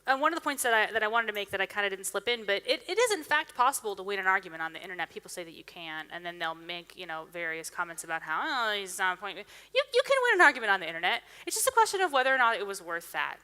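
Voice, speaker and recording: A woman speaker answering audience questions after her talk in a large hall, chatty and animated with lots of restarts. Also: medium pitch, racing, clean tone. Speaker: conference speaker taking questions. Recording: reverberant hall sound.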